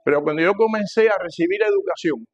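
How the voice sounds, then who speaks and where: An older man with a Cuban Spanish accent preaching to a congregation, emphatic and repeating phrases, swinging between loud and hushed delivery. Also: high-pitched, fast talker, lo-fi sound. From Cuba. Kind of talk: sermon, teaching a church congregation.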